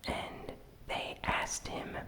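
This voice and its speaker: A host whispering a gaming news recap in a soft, unhurried ASMR style. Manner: slow, soft, unvoiced whispering.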